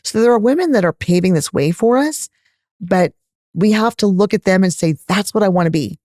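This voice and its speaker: A middle-aged woman with a Texas accent, a gynecologist answering interview questions in an animated, candid, emphatic way. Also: mid-range voice, fairly quick, no echo, studio quality. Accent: Texan. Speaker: gynecologist guest.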